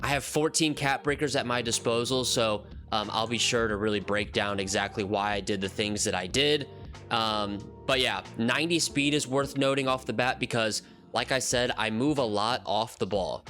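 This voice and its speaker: A man's voice: medium pitch, steady tone, normal speed, sharp tone, soft background music. A man hosting a gaming channel, casually walking through a build. He sounds nasal from a cold and rambles with frequent ums and restarts. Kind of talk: casual game commentary walkthrough.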